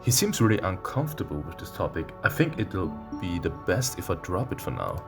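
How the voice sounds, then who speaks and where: A man playing a visual novel, reading its text aloud and breaking into excitable, playful reactions. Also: deep voice, a little fast. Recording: music behind the voice. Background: game music with plucked guitar.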